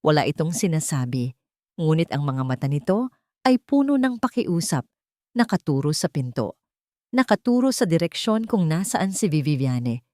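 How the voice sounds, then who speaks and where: A woman narrates a dramatic audio story with steady suspense. Her voice sits on the low side yet moves expressively up and down. The recording is dry and close, with no room around it. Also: moderate pace, no echo.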